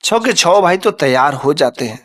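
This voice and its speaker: A man with a fairly high voice tells a devotional moral story. He talks in a colloquial, repetitive storytelling flow with dramatic emphasis. He sounds a little far from the mic. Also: animated, normal speed.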